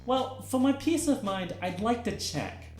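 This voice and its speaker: A woman's voice: medium pitch, big pitch swings, unhurried, darkish tone. A woman voicing a bossy, sarcastic, easily exasperated pony in a cartoon parody, with music behind her. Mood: suspicious.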